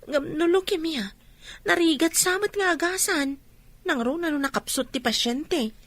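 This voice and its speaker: A woman's voice: very high-pitched, moderate pace, fairly bright tone. A woman with an Ilocano accent playing an inquisitive, sympathetic village friend in a radio drama.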